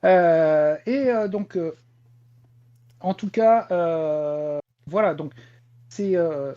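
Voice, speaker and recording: A man's voice: fairly high pitch, very slow. A man chatting casually as a podcast panelist, repeating his phrases for emphasis. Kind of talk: casual chat. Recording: dull, lo-fi voice audio.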